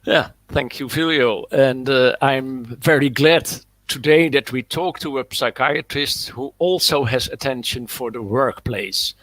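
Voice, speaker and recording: A man hosts the webinar, pausing often and leaning on fillers. His voice sits at a middle pitch, and the sound is dry, over a video call. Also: a bit bright, dry recording. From the Netherlands. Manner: hesitant, many fillers. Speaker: webinar host and coach. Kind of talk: hosting a webinar.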